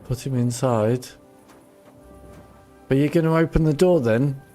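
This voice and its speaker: A man's voice: mid-range voice, unhurried, mellow, soft background music. A man giving casual playthrough commentary as a game streamer, muttering and grumbling at the game.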